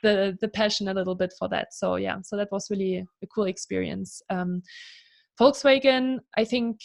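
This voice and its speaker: A German woman in her thirties talks through her career as an interview guest. Her English flows in a relaxed, chatty way, padded with "kind of" and "you know". Her voice sits at an ordinary middle pitch. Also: moderate pace, gravelly. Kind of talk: casual interview conversation.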